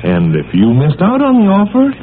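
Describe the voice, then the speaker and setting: A man's voice: high-pitched, huge pitch swings, a little fast, narrow, tinny audio, slight background noise. A man announcing and narrating in emphatic, punchy 1940s radio style for young listeners, heard on an old broadcast recording. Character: peppy announcer of a boys' radio adventure serial.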